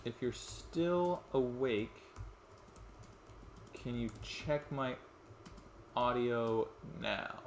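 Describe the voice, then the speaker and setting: A man's voice: medium pitch, very slow, long pauses, echoey room, a little hiss or hum. A young man casually commenting on his live game stream at home, rambling, joking at his own expense and swearing freely. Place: home room at night.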